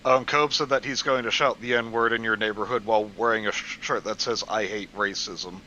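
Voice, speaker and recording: A man's voice: on the low side, fairly even intonation, a little fast, bright, forceful tone. A man chatting casually and joking along as a co-host. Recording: dull lo-fi mic with background noise.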